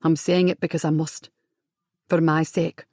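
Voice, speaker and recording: A woman narrator voicing a tender, wounded young man who speaks low and earnestly, halting at times. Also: flat delivery, darkish tone, dry recording, studio quality.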